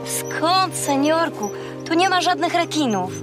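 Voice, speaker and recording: High-voiced earnest young girl. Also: animated, measured pace, over music. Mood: reassuring. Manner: eager, childlike. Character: thirteen-year-old girl, brave, earnest and imaginative. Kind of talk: children's audio drama acting.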